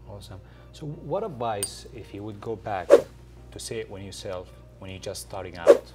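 A middle-aged male TV host with a lowish voice asks his guest questions in a halting, effusive way, stumbling through fillers. He sits on a studio couch at a desk mic, with music clearly running under him. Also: lively intonation. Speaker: celebrity TV host. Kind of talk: interview hosting. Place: studio set, seated on a couch. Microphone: desk microphone on a stand.

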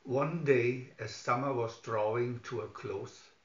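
A man's voice: mid-range voice, steady tone, slow pace. A man narrating a scripted children's story as a storybook reader. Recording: dull lo-fi recording, roomy echo.

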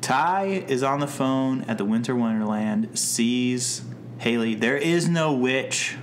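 A man co-hosts a laid-back podcast, working through episode notes at an unhurried pace. His humor is dry and deadpan. A faint hiss of noise sits under the voice. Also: medium pitch. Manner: dry, deadpan jokes, reads plot notes aloud. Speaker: podcast co-host. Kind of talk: casual chat recapping a TV episode.

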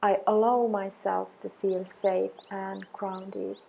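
Slow-speaking woman guiding meditation, gently husky. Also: mid-range voice, level tone. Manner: soothing, measured, deliberate phrases with long pauses. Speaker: meditation guide. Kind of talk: guided affirmation meditation.

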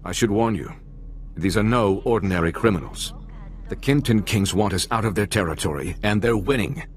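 An actor playing a courteous, troubled ambassador in a video game, speaking formally and earnestly. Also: male voice, lowish voice, moderate pace, close to an everyday voice. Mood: grave.

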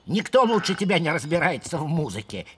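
A man voicing a shy, bashful young male lamb with a husky, stammering delivery in a children's cartoon. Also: a little high, sing-song, brisk, slight background noise. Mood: awkward, unsure. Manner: hesitant, stammering with many filler sounds. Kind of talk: animated children's cartoon dialogue.

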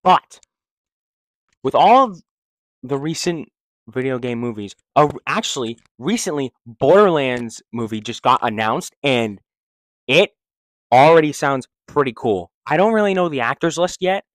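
A young man in a living room, rambling excitedly in slangy casual talk into a handheld mic and restarting his sentences. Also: mid-range voice, measured pace, no room sound. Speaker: vlogger. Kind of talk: casual opinion vlog. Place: living room, seated in armchair. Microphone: handheld mic held close.

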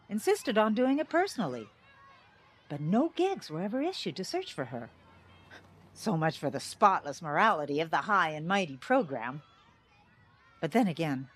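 An actress playing a bitter middle-aged small-town teacher and mother, giving wry, theatrical testimony in an audio drama. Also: lowish voice.

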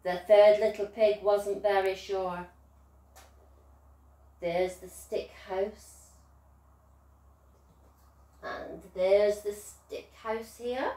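A woman's voice: mid-range voice, fairly even intonation, extremely slow, pauses often, warm tone. A woman reading a children's story in a sing-song, emphatic way, as if to young children. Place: small living room, indoors. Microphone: distant camera. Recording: roomy echo, far from the mic.

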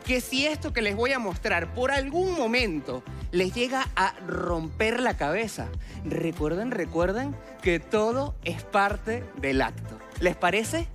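A man's voice: high voice, very animated, measured pace, a bit bright. A man in his twenties with a Venezuelan accent, performing theatrical stage magic patter and addressing judges directly through a headset mic. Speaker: stage magician, talent show contestant. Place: large TV studio theatre stage. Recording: music under stage performance. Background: music.

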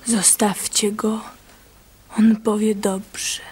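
Breathy, whispering meek wife. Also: female voice, mid-range voice, level tone, drawn-out words, darkish tone, heavily acted. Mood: meek, hushed. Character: meek, devout young fisherman's wife. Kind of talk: radio drama acting.

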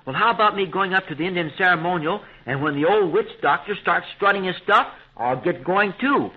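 High-pitched eager radio boy adventurer. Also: expressive, fairly quick, slightly rough. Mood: eager, boastful. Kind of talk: 1930s radio serial acting. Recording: tinny, narrow 1930s radio recording.

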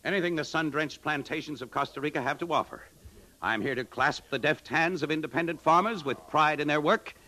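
A man's voice: on the high side, fairly quick, sharp tone. An American man acting a sardonic, indignant kidnapped adman in a 1950s radio drama. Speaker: lead actor and first-person narrator. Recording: noisy vintage 1950s radio recording.

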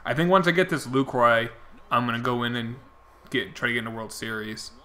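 A man's voice: medium pitch, steady tone, a little fast, pushed and bright. A young man streaming a baseball video game, rambling casually and answering his chat viewers, his voice getting worn out. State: losing his voice. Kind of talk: casual chat while playing.

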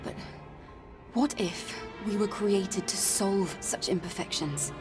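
An actress playing a serious, pensive young female android soldier in a video game, speaking soberly and deliberately. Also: mid-range voice, far from the mic, over music, lightly acted. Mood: troubled resolve. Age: young adult. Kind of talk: video game cutscene acting.

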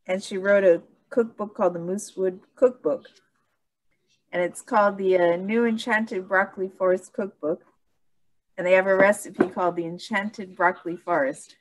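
Woman, mid pitch, hesitant, casual call chat. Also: steady tone, moderate pace, poor audio. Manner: hesitant, with fillers. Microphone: video call.

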